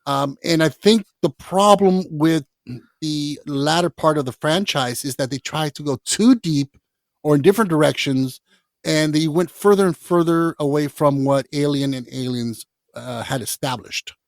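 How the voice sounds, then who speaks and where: A man hosting a casual film podcast, excitable, laughing often and swearing freely. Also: a little high, moderate pace, creaky, no room sound. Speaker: podcast host.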